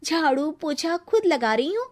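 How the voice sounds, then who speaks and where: A woman plays an exasperated young daughter-in-law in a comic cartoon story. Her voice is high, and she is emphatic, protective and theatrically pleading. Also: very animated, moderate pace. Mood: whining. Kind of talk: animated Hindi comic story acting.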